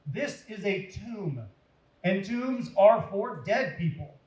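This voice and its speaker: A man's voice: high voice, animated, slow, darkish tone, roomy sound, pitched above the performer's own voice, poor audio. A man voicing a reassuring, glad angel in an emphatic storybook delivery.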